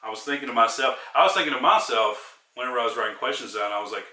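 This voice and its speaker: A man's voice: mid-range voice, racing, sharp tone, roomy sound, recorded from a distance. A man interviewing a guest casually, repeating affirmations and encouraging him.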